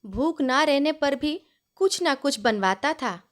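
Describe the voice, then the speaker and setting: High-pitched, slow woman storyteller. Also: lively intonation, fairly bright tone. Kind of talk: scripted story narration.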